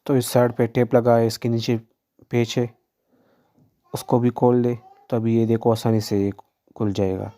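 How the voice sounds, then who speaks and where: A man's voice: mid-range voice, little pitch movement, normal speed, long pauses, warm tone. A man narrating step-by-step repair instructions in a plain, instructional way as a tutorial presenter.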